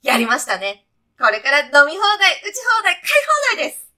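A woman plays a brash, breezy heavenly guide in a comedy radio play. The voice is high and bright, with very lively swings in pitch. The talk is punchy and clipped, full of casual jock-style slang. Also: fairly quick, exaggerated voice. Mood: upbeat, brash. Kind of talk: comic radio sketch acting.

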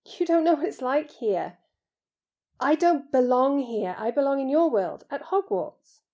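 A woman's voice: high-pitched, expressive, a little slow, mellow, voice pushed up. A woman playing an earnest, anxious, lonely schoolboy with a hesitant manner in an audiobook. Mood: dismayed.

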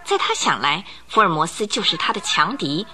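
A woman narrating a radio detective drama in a lively storytelling manner. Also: lowish voice, brisk, soft background music. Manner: lively storytelling, rising for suspense.

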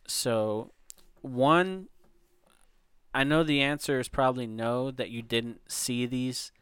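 Slow-talking man hosting, dry sound. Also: mid-range voice, fairly bright tone, smooth, no room sound. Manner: leads the talk, sets up topics, unhurried. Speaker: podcast host. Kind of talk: casual sports chat.

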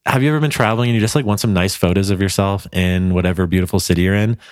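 Low-voiced man, gushing casual podcast host. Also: very fast, clean tone, no room sound, studio quality. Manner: gushing, informal, frequent 'like'. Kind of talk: casual podcast interview chat.

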